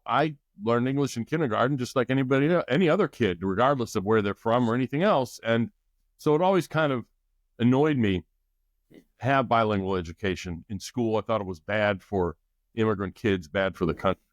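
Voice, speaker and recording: Middle-aged guy talking it through as a guest, mid pitch, dry close mic. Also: fairly quick, no echo. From United States. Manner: thoughtful, explanatory with restarts. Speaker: guest expert. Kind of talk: podcast interview.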